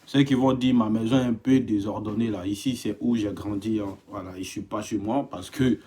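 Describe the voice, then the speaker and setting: A man's voice: fairly low pitch, fairly even intonation, moderate pace, mellow. A man from Africa, live-streaming from a home, greeting viewers by name and growing emphatic and impassioned. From West Africa. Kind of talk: casual live stream monologue. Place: home indoors.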